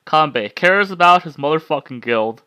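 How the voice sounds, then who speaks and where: A man's voice: fairly high pitch, expressive, normal speed, pushed and bright, clear, resonant tone, no room sound. A man hosting a casual club discussion and reading out comments in a jokey, sweary way. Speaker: host leading an anime club discussion.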